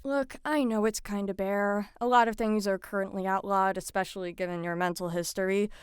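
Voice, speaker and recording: A woman's voice: medium pitch, steady tone, brisk, clean tone, no echo, studio quality, natural voice. A woman playing a friendly, slightly awkward patient supervisor in an audio drama, talking in an eager, reassuring way.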